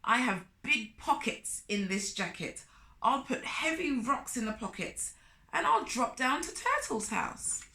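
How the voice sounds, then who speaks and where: A woman's voice: on the high side, very animated, unhurried, sharp tone, echoey room, heavily acted. A woman voicing an eager, scheming male spider who gets flustered easily, for a children's storybook. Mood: excited, scheming.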